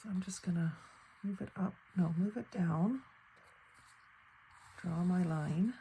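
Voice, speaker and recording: A woman speaks low and gravelly, her voice a little crackly and hoarse from a cold. She talks through a paper craft project in an unhurried, chatty way, musing aloud as she cuts and glues. A faint hum of room ambience sits under her voice. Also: dark tone. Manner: thinks aloud while working, unhurried and chatty. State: slightly hoarse, fighting off a cold. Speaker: craft channel host. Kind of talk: casual crafting tutorial narration.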